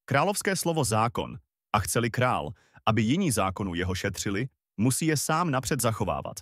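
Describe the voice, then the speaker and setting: A man's voice: on the low side, big pitch swings, a bit bright, no echo, clean studio sound. A man voicing a clever, self-assured young journeyman in a storybook fairy tale. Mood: assertive. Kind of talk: storybook voice acting.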